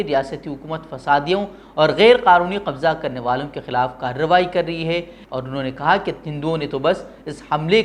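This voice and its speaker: A man presenting opinionated news with formal, literary diction. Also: fairly high pitch. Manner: formal Urdu-heavy diction.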